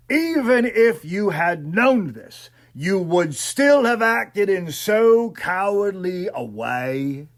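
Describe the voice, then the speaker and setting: A man's voice: high voice, exaggerated voice. A man voicing a stern coroner pressing a witness at an inquest in a story, formal and reproving.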